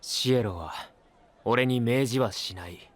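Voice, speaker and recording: A man voice-acting a calm, guarded, devoted young knight in an audio drama, quiet, measured and sincere. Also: medium pitch, close to an everyday voice. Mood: resolute. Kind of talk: Japanese anime-style voice drama acting.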